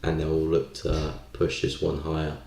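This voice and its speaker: A man's voice: very deep voice, monotone, normal speed, gravelly, dark tone. A man hosting a live stream, giving casual market commentary and thinking aloud in a hesitant way. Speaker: live stream trading host. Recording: roomy, echoey sound.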